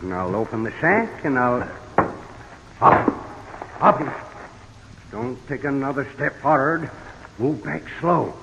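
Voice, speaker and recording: An actor playing a folksy, grumbling older cowboy sidekick with a drawling American Western accent in a radio drama. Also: male voice, mid-range voice, expressive, unhurried, creaky, pauses often. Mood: nervous. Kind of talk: old-time western radio drama acting. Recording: echoing, dull, noisy vintage radio recording.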